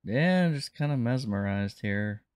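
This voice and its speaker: A man's voice: mid-range voice, expressive, measured pace, darkish tone, clear, resonant tone, no room sound. A man improvising in a game roleplay, chatting in a hesitant, bemused manner. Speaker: roleplay streamer playing a police lieutenant.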